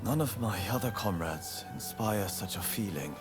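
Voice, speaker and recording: Man acting an earnest, low-voiced samurai. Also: lively intonation, lightly acted. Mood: earnest, resolute. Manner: formal, measured, solemn diction. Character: earnest, honorable young male samurai of Choshu. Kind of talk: dramatic video game cutscene acting. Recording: music behind the voice.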